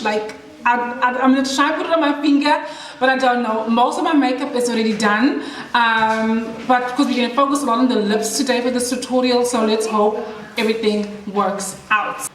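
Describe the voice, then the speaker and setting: A young woman, chatting bubbly and excitedly through a makeup tutorial on camera in a small room with kids nearby. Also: fairly high pitch. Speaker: makeup vlogger. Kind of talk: casual beauty tutorial chat. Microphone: camera in front, head and shoulders framing. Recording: roomy echo with household noise.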